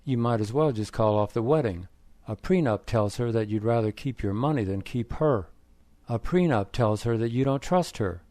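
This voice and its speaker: A man's voice: medium pitch, moderate pace, mellow, no echo, natural voice. Man doing a blunt, preachy friend, plain read-aloud voice, dry sound. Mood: lecturing. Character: blunt, lecturing male friend.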